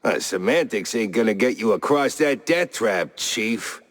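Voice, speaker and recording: A man's voice: lowish voice, animated, a little slow. An actor playing an eccentric, smug stuntman mouse guru in a cartoon noir game, his faux-serene sage talk slipping into streetwise slang. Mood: brash, smug. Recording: long echo, cavernous reverb.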